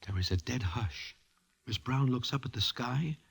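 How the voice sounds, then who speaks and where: A man's voice: medium pitch, normal speed, gravelly, a bit bright, husky, full character voice. A man playing a wry, streetwise Broadway narrator in an old-time radio drama, using stilted formal slang. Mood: wry storytelling. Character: wry Broadway guy telling his gambling tale, streetwise and formal.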